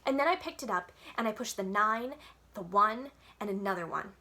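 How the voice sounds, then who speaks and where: A woman's voice: mid-range voice, lively intonation, normal speed, sharp tone. A woman reading a children's book aloud expressively, as a library storyteller, with dramatic, childlike first-person narration.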